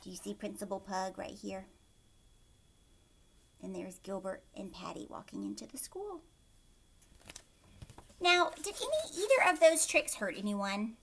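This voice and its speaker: A woman teacher reading a picture book aloud to children, expressively, pausing to explain and ask them questions. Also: medium pitch, vocal fry, a little hiss or hum. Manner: expressive, asks young listeners questions.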